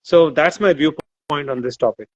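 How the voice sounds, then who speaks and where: A man's voice: a little high, normal speed, dry recording. Thoughtful man on a dry, muffled line. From Nigeria. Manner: thoughtful, with many fillers and restarts. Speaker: author guest. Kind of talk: casual discussion.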